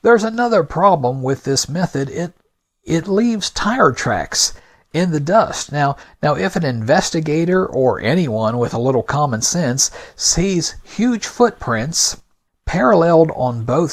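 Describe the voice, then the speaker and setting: A man hosting a podcast with scripted narration, delivered in a deliberate, emphatic, argumentative manner. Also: a little high. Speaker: podcast host.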